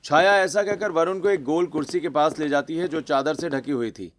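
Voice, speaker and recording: A man's voice: on the high side, normal speed. A man narrating a scripted cartoon story.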